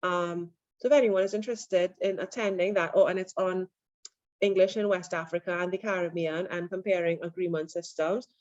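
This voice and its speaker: A woman's voice: lowish voice, brisk, no echo. Woman lecturer on lo-fi online audio. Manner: relaxed, many fillers. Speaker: university lecturer.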